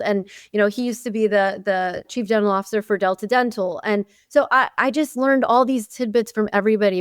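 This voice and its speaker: A woman whose first language is Arabic, telling her career story in an interview, lively and chatty with many "you know"s. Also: mid-range voice, clean tone, no echo, studio quality. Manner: animated storytelling with frequent "you know" and laughs. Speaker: guest, chief dental officer. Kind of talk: conversational interview.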